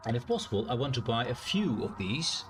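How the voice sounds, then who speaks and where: A man with a naturally loud voice and a strained, sore throat, thinking aloud in a rambling way as he streams a game. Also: medium pitch, very animated, fairly quick, a little hiss or hum. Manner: rambling, thinks aloud with fillers and self-corrections. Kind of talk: casual live-stream gameplay commentary.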